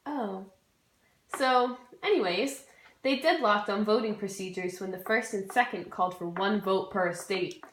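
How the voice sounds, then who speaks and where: A woman's voice: mid-range voice, a little slow, a bit bright, a little hiss or hum. Young woman presenting in an echoey room. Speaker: student presenter. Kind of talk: scripted educational puppet show narration.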